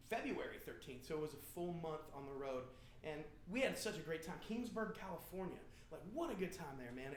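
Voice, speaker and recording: High-pitched American man, playful and rambling. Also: slight background noise. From Indiana, United States. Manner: playful, rambling storyteller, slangy. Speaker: band frontman hosting a live stream. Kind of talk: casual joking banter with viewers. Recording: roomy, echoing live stream sound.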